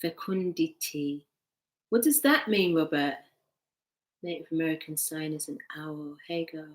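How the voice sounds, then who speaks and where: A woman giving a live tarot reading from home on a laptop mic, veering from excited outbursts to soft murmuring. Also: low-pitched, a little slow. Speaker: tarot reader. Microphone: laptop microphone. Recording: echoey room, laptop mic.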